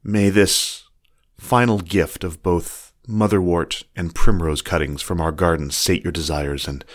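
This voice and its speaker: A man voicing a lovesick young male fox, reading his formal, tender letters aloud. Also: sits low. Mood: stiff, formal.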